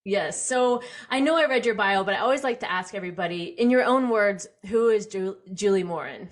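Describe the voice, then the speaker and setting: A woman hosting a podcast, reading her intro then backing her guest with steady affirmations. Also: mid-range voice, fairly quick. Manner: affirming, frequent mm-hmms. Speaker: podcast host. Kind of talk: scripted intro then casual interview.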